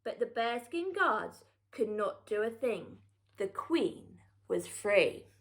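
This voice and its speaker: A woman narrates a children's story in a high, very animated voice. She takes her time, leaning on key words with theatrical emphasis, like a scripted storybook reading. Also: slow pace.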